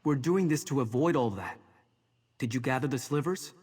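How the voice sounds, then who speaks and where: A man playing a serious, urgent mentor in a fantasy video game, giving directions in a businesslike way with a husky voice. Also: mid-range voice, animated, normal speed, a little mellow.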